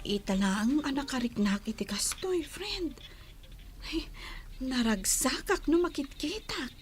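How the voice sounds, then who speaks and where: A woman's voice: high-pitched, animated, a little slow, faint music behind. A woman acting a lovestruck young daughter, timid before her parents, in an emotional radio drama style. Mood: wistful, lovestruck.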